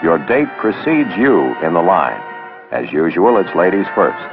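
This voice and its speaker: A man's voice: deep voice, very animated, unhurried, creaky. A man narrating a 1960s training film, instructing with wry, sarcastic asides. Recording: dull old film soundtrack with music. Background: background music.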